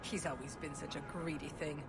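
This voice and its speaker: With music playing behind, an actress plays a dwarf woman in a fantasy game. Her voice is on the low side, and she talks bluntly, like someone exasperated. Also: fairly even intonation, fairly quick, natural voice. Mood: scornful. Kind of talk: video game voice acting.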